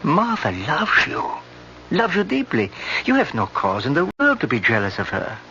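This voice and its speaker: An actor playing a solicitous, secretly scheming European doctor, speaking in deliberate phrases in a radio drama. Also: male voice, a little high, wildly swooping pitch, sharp tone, full character voice. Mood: earnest, probing. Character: gentle older European doctor friend, male, solicitous yet secretly scheming. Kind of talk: old-time radio drama acting. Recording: noisy, muffled old radio recording.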